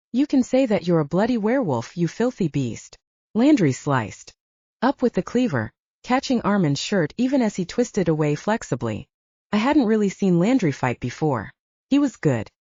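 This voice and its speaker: A woman's voice: fairly low pitch, mellow, no room sound, dull audio. A woman reading scripted first-person fiction as an audiobook narrator.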